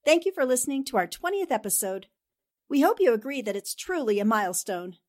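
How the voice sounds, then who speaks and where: A woman's voice: on the high side, big pitch swings, fairly quick. A woman, a cast member of an audio drama podcast, giving a scripted address to listeners.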